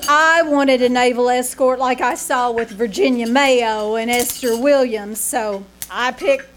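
A woman playing a zany, sharp-tongued, self-dramatizing Hollywood actress in old-time radio comedy, performed live on stage. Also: a little high, measured pace, a little hiss or hum, close to an everyday voice. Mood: haughty, mocking. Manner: melodramatic, mock-grand, insulting.

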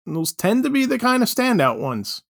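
A man's voice: very high voice, big pitch swings, fairly quick, gravelly, no echo, clean studio sound. A man hosting a casual panel chat, talking informally with the others. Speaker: podcast host.

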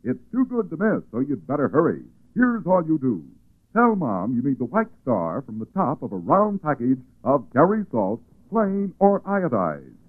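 An American man working as a radio announcer, reading a 1940s advertisement as an excited, emphatic sales pitch. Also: normal speed. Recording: tinny narrow-band 1940s radio recording.

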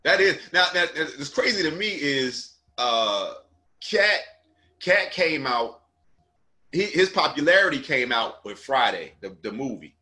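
A man from South Jersey, a comedian hosting a casual conversation, relaxed and quick to agree with his guest. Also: a little high. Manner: laid-back, frequent "right, right" agreement. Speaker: comedian and host.

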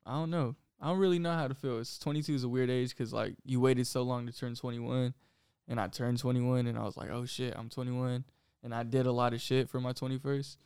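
A man in his twenties co-hosting a podcast, talking in a relaxed, low-key slangy way. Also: brisk, smooth, dry recording, studio quality. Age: 20s. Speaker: podcast co-host. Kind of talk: casual chat.

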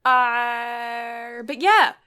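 A woman's voice: on the high side, drawn-out words, sharp tone, smooth. A woman chatting casually on a podcast, rambling along and laughing easily. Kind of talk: casual chat.

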